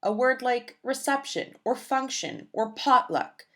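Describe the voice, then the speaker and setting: A woman's voice: sits high, lively intonation, unhurried, sharp tone. Woman reading a picture book aloud. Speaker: children's book reader.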